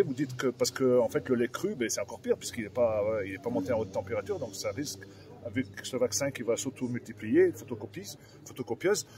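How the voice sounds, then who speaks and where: A male farmer with a medium-pitched voice hosts an informal live interview. He talks in an earnest, colloquial, campaigning way. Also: darkish tone. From France.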